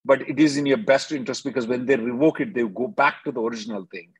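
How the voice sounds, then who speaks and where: A man, a lawyer advising callers on a conference call in a brisk, emphatic manner, repeating phrases for stress. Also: medium pitch, husky, no echo. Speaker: immigration lawyer hosting a call-in.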